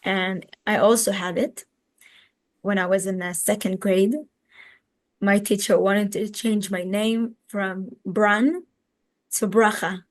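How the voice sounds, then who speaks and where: A woman's voice: medium pitch, flat delivery. A woman in her twenties from Israel with an Israeli accent, answering interview questions conversationally and often searching for words. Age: 20s. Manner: halting, searching for words in a second language. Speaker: interview guest, educator and social media activist.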